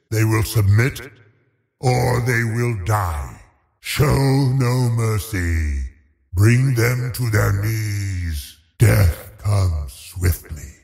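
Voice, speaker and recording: Evil undead wizard for a video game, deepish menacing voice, super theatrical, swoops up and down. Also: male voice, exaggerated voice. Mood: triumphant fury. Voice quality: gravelly, menacing. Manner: theatrical, imperious, drawn-out delivery. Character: undead male sorcerer, haughty, cruel, relishing death. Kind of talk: fantasy video game voice lines. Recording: long cavernous reverb.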